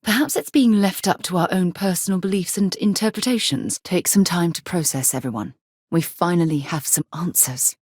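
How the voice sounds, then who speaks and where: A woman voicing a principled, caring space explorer in a video game, with an articulate, formal and expressive delivery. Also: on the low side, normal speed, slightly rough, dry recording, studio quality. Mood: earnest.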